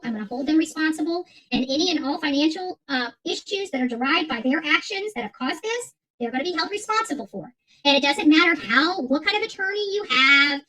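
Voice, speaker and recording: A woman's voice: sits high, fairly bright tone, rough recording. A woman hosting an online channel, ranting off the cuff to her audience in a combative, emphatic way, repeating phrases for weight. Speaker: host of an online commentary channel. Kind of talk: unscripted rant to an audience.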